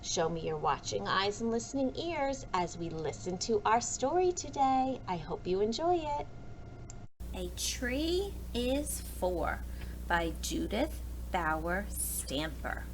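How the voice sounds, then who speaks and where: American woman, preschool read-aloud, very lively pitch, creaky edges, expressive storytelling for little kids, noisy background. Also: takes it slowly. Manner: expressive, addressing young children with questions and asides. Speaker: preschool teacher. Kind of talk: read-aloud of a children's picture book. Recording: clear background noise.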